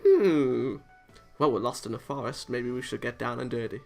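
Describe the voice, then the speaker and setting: A man's voice: mid-range voice, moderate pace, gravelly, a little mellow, soft background music. A man reading a visual novel aloud for a let's play, stumbling now and then and tossing in casual, sweary asides. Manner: stumbles over read lines, swears casually. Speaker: let's player.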